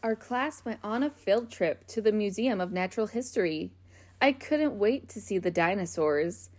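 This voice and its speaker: A woman reading a children's picture book aloud in a gentle, expressive, child-friendly way. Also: medium pitch, moderate pace, no echo. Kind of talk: storybook read-aloud.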